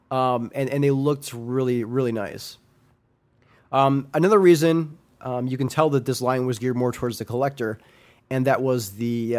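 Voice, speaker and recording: A man's voice: mid-range voice, darkish tone. A young adult man, slightly nasal and congested from a sinus infection, hosting a casual solo podcast and rambling with many ums and restarts. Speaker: podcast host.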